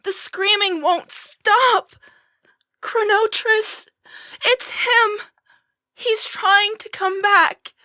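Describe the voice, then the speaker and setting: High, drawn-out mystic seer. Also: female voice, sharp tone, no echo, exaggerated voice. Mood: haunted, fearful. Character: troubled mystic seer sensing spirits, fearful, intense. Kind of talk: fantasy audio drama acting.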